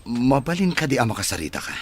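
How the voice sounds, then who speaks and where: A man acting a brash, desperate, scheming rich man who is quick to anger, in an Ilocano radio drama. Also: medium pitch, big pitch swings, slight background noise, close to an everyday voice. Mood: coaxing.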